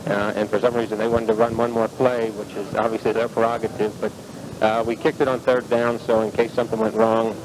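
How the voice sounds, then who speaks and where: A man's voice: flat delivery, brisk. A young adult man with an American accent, an athlete answering questions in a post-game radio interview. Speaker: professional football player interviewed after the game. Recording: noisy old radio feed with rumble.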